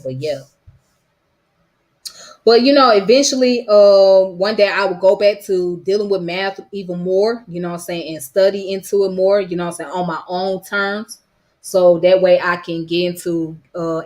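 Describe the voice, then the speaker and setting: A woman from Georgia with a strong Georgia Southern accent, talking loosely to camera in a casual vlog, her voice a little worn, full of "you know what I'm saying" fillers. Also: lowish voice. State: voice slightly worn. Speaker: vlogger. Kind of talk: casual rambling monologue.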